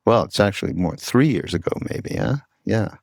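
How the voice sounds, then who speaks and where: A man hosting a podcast in a casual, conversational way, with an informal, rambling and halting delivery. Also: fairly low pitch, sing-song, gravelly, darkish tone, dry recording, clean studio sound. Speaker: podcast host.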